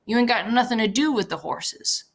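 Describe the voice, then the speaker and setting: A woman reading the part of a bitter, guarded older male stable hand, with blunt, sharp rural speech. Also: on the high side, expressive, moderate pace, pitched above the performer's own voice. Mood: scolding.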